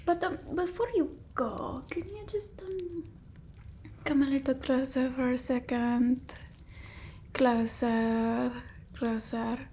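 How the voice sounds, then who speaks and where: A woman playing a bossy, fussy old-world vampire mistress in an ASMR roleplay, whispering slowly and breathily. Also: high voice, steady tone, a little hiss or hum. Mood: disgusted, bossy. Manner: slow, hushed, haughty, fussing over the listener.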